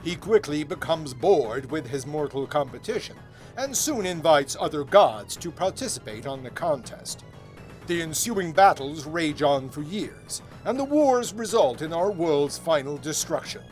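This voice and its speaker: A man's voice: on the high side, expressive, measured pace, darkish tone, soft background music. A man reading a theatrical scripted narration.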